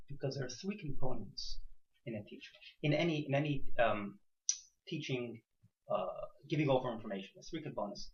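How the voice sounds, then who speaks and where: A man giving an interactive class to a small group, asking rhetorical questions and repeating and rephrasing himself. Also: mid-range voice. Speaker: teacher leading a class. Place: indoor room with a few students. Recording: echoey room, dull muffled sound.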